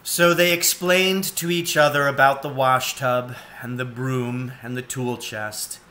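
Guy in his 40s reading a kids book out loud, slow pace, clear bright tone. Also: mid-range voice, steady tone. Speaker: storybook reader. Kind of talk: read-aloud children's story narration. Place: cluttered indoor room at home, seated.

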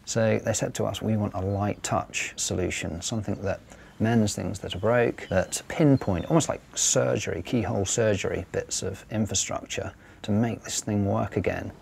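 Architect interviewee, gravelly, lowish, hesitant phrasing. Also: male voice, steady tone, moderate pace, hardly any pauses, a little mellow, a little hiss or hum. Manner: hesitant, searching for words. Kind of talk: documentary interview.

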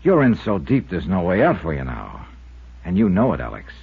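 An actor playing a tough, level-headed investigator, firm and clipped, in a 1950s radio drama. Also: male voice, low-pitched, huge pitch swings, moderate pace, gravelly, breathy edge, exaggerated voice. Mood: stern, grim. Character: tough, level-headed male investigator telling his own case. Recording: muffled 1950s radio recording with music.

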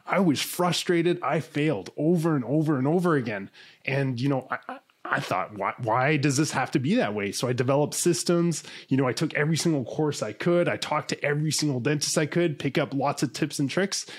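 Man, 30s, dentist guest, earnest, energetic, repeats words, slightly high voice, boom-arm studio mic. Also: a little fast, breathy edge. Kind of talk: conversational interview. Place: indoor studio, remote call.

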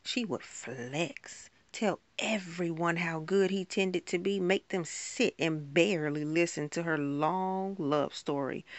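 A woman performing a spoken word poem in a rhythmic, rhyming delivery with dramatic pauses. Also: fairly low pitch, dry recording.

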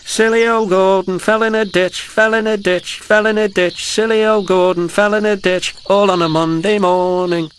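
A man's voice: high voice, steady tone, moderate pace, few pauses, faint music behind, voice pushed up, close to an everyday voice. A man voicing mocking little boys with a jeering sing-song delivery in a children's storybook.

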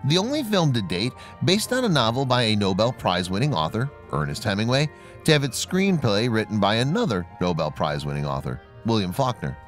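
A man reading scripted trivia narration in a measured, formal manner. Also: lowish voice, very animated, soft background music.

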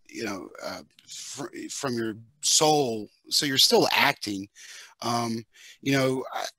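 A middle-aged man talking casually on an online panel through a headset, halting as he thinks aloud. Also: mid-range voice, pushed and bright, no echo. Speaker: panelist, audio drama maker. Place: dim room at home, video call. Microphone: headset on a video call.

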